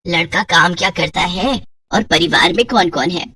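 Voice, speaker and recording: A woman's voice: on the low side, normal speed, bright, forceful tone, far from the mic, slight background noise. A woman voicing an exasperated middle-aged mother who grumbles and nags in a Hindi cartoon story. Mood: calm, practical. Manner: grumbling, complaining.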